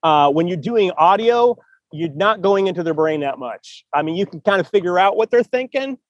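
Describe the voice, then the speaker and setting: A man's voice: sits high, lively intonation, normal speed, dry recording, highs cut off. A man hosting a podcast, giving encouraging writing advice in casual chat, often restarting phrases and laughing. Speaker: podcast host.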